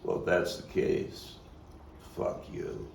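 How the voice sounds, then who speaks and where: An actor playing a weary, foul-mouthed, combative neighborhood philosopher in a halting, emphatic stage monologue, his voice gravelly. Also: natural voice. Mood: bitter, combative. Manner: rambling, profane, halting, emphatic street talk. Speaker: playwright and actor. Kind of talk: theatrical monologue at a table read. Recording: distant mic, roomy echo, faint noise.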